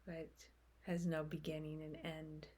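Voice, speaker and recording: Very slow, husky woman guiding meditation. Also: deep voice, completely flat delivery. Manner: gentle, unhurried, short phrases with long pauses. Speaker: meditation guide. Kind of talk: guided meditation, slow unfolding instruction.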